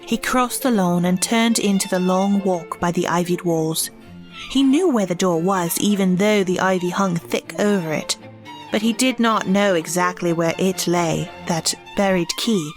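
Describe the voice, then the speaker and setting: A woman narrating an audio drama in a measured, expressive way. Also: on the low side, faint music behind.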